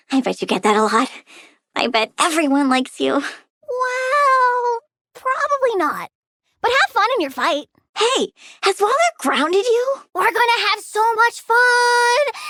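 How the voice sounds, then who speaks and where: A woman's voice: very high voice, a bit bright, no room sound, clean studio sound, heavily acted. A woman voicing a volatile young plant-powered girl villain in a video game, girlish, bratty and blunt, with gleeful menace. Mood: blunt, musing, then gleeful, menacing. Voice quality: girlish, childlike. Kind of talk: video game character voice lines.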